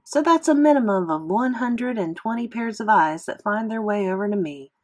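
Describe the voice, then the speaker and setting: A woman reads a children's novel aloud in expressive classroom read-aloud narration, like a teacher with a class. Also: mid-range voice, moderate pace.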